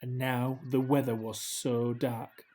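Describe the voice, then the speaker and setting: A man reading a children's story aloud in a gentle, expressive way, with hushed dramatic drops. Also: mid-range voice, slow. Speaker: storybook reader. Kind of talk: scripted children's story narration, read aloud.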